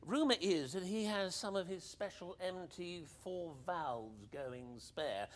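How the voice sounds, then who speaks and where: A man's voice: high voice, expressive, a little slow. An actor playing a breezy, cheeky upper-class English ex-army captain in a radio play, with hearty old-boy banter. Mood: breezy, persuasive.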